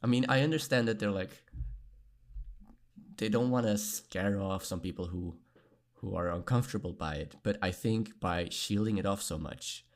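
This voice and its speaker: A man's voice: on the low side, normal speed. A man talking candidly as a podcast guest, earnest and reflective, with many fillers and frequent laughs. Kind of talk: candid conversational interview.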